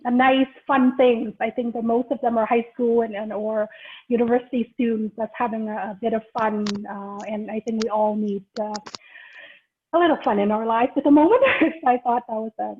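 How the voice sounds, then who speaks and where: High-voiced middle-aged woman on a tinny call. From Vietnam. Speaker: host of an online ceremony. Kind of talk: informal hosting on a group video call. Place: remote online video call. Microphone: video call microphone. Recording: tinny, band-limited video call audio.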